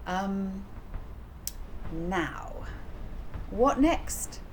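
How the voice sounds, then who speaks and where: A woman talks informally to her listeners as an author and host. Her pace is slow, with a musing manner and frequent pauses, at a medium pitch. Noticeable background noise sits behind the voice. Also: animated. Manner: unhurried, musing, pausing often. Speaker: author and reader of her own book, channel host.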